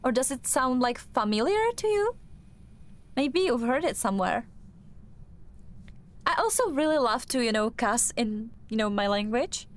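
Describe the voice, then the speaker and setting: A woman's voice: fairly high pitch, very animated, unhurried, pauses often. A young woman with a Czech accent chatting casually while streaming a game, hesitant and full of fillers and restarts. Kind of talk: casual chat while gaming.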